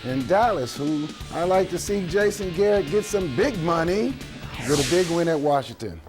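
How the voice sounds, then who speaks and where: A man with a slightly raspy voice reading out football picks on a sports show. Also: on the high side, moderate pace, over music. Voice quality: a little raspy. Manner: lively picks readout. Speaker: co-host.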